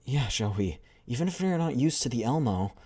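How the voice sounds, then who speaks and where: A man with a deep voice voicing a gentle, worried older-sister soldier who speaks in a soothing, subdued way. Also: lively intonation, a little fast, husky.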